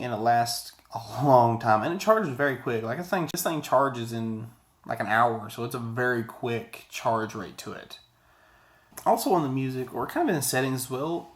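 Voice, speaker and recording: An American man in his thirties gives a casual video product review in a conversational, rambling way, repeating words for emphasis, recorded in a small office. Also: medium pitch. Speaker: audio gear reviewer. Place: small office room indoors.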